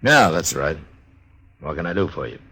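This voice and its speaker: A low, steady American lawman, speaking in measured, understated phrases. He has the dry authority of an Old West marshal in a classic radio drama. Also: slight background noise, exaggerated voice. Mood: dry, calm. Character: steady, laconic Old West U.S. marshal, middle-aged man. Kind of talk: 1950s western radio drama acting and narration.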